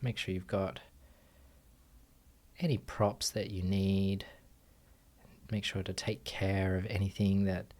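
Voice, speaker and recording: Deep voiced guy leading a guided meditation, really slow, gentle instructions w long pauses. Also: flat delivery. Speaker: meditation teacher.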